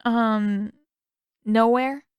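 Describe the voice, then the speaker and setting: A woman's voice: on the high side, steady tone, very slow, darkish tone, clean tone, no echo. A woman voicing an earnest, sheepish young boy in an audiobook, hesitant and a little defensive.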